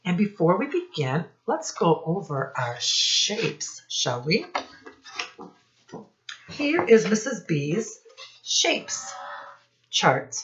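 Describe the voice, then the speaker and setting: A woman teacher speaking deliberately to young children in a read-aloud lesson, addressing them directly with questions. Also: on the low side, big pitch swings. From United States. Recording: dull lo-fi sound.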